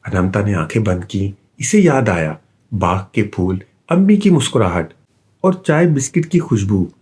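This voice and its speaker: A man narrating a scripted children's bedtime story with an expressive storytelling delivery. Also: on the low side, measured pace, slightly rough.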